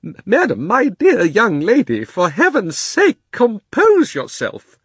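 A man voicing a cowardly, self-pitying old gentleman magician in a storybook, wailing in a trembling, drawn-out, fussy way. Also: high voice, huge pitch swings, breathy edge, dry recording, clean studio sound, pitched above the performer's own voice, full character voice. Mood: pleading panic.

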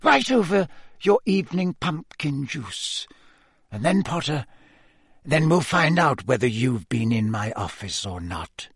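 A man's voice: high voice, wildly swooping pitch, gravelly, pushed and bright, husky, no room sound, pitched above the performer's own voice, exaggerated voice. A sneering, vindictive schoolteacher from a fantasy audiobook, performed by a male reader. He draws out his threats with a cutting hiss, in a dry recording with no room sound. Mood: vicious threatening. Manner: hissing, drawn-out, cutting threats. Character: menacing adult male potions teacher, sneering, vindictive.

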